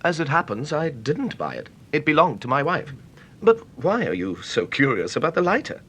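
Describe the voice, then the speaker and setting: An actor playing an apologetic, courteous but persistent gentleman visitor in a vintage radio drama, polite, hesitant and expressive. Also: a little high, normal speed, slight background noise. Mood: courteous, puzzled.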